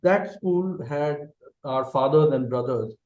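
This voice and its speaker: A middle-aged man with an Indian English accent and a Bengali mother tongue, talking through his answers in a reflective way. His voice is dark and mellow. It sounds roomy and a little dull, like a remote call. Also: a little high, takes it slowly. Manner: thoughtful, checks in with a frequent "okay". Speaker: guest, company managing director.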